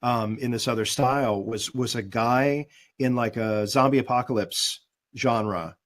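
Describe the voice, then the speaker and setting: Relaxed, thoughtful man on a call. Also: medium pitch, unhurried. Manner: thoughtful, relaxed, with many fillers. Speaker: guest voice actor and demo producer. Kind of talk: casual webinar chat.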